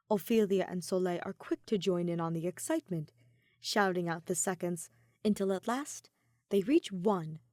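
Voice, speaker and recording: A woman's voice: mid-range voice, darkish tone, no echo, clean studio sound. A woman reading a scripted story aloud as an audiobook narrator. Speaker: audiobook narrator of fan fiction.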